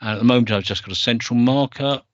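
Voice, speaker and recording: A man from the UK presenting a software demo over a screen share, explaining step by step and correcting himself as he goes. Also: mid-range voice, fast talker, no room sound, muffled recording.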